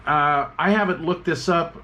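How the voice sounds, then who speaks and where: A man giving a relaxed, casual review of radio gear, chatting with plenty of fillers as he works at a tabletop. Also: fairly high pitch, normal speed.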